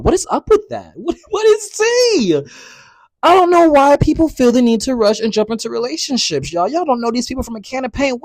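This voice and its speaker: A man in his early twenties hosting a podcast, talking casually and with sass, sometimes jumping into loud, high outbursts. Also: dry recording. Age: 20s. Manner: animated, sassy, conversational with frequent "you know what I'm saying"; rises into loud high outbursts when worked up. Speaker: podcast host. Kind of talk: casual solo podcast talk.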